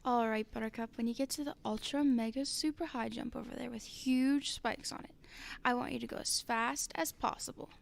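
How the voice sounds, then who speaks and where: A woman's voice: on the high side, steady tone, brisk, gravelly. A woman acting an eager, daring, horse-loving cowgirl in a children's radio drama. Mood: bold coaxing.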